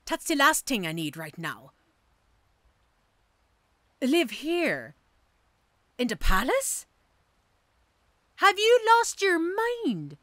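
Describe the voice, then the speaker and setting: A woman's voice: high-pitched, wildly swooping pitch, extremely slow, pauses often, fairly bright tone, no room sound, exaggerated voice. A woman playing a cocky, street-hardened female mercenary assassin in an audio roleplay, with a teasing, sardonic, theatrical manner. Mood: incredulous. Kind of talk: audio roleplay drama acting.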